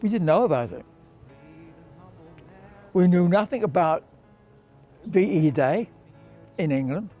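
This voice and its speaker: A man's voice: high voice, expressive, takes it slowly, pauses often, dark tone, faint music behind. A man in his nineties, a war veteran, recalling his past for an interview in short phrases, often repeating himself. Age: 90s. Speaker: war veteran, former prisoner of war. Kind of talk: interview reminiscence. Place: outdoors on a bench by a car park.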